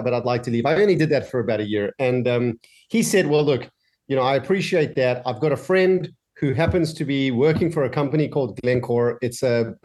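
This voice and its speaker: A man in his forties from South Africa with a mixed South African and Australian accent, chatting as an interview guest from his home office, with lots of fillers and restarts. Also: medium pitch. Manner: relaxed, many fillers and restarts. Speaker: guest entrepreneur. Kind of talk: casual interview chat.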